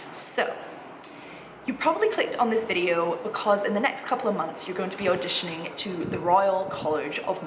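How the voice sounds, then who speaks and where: A woman in her twenties giving chatty, informal advice in a reassuring, encouraging way, like a student vlogger talking to camera. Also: on the low side. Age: 20s. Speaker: vlogger, music college postgraduate singing student. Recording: echoey room with clear background noise.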